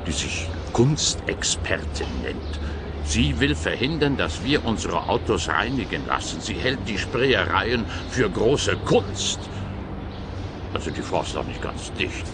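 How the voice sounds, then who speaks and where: A man's voice: lowish voice, big pitch swings, measured pace, noisy background. An actor playing an earnest, self-righteous neighborhood association man in a radio play, formal and pompous. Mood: indignant complaint. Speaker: client.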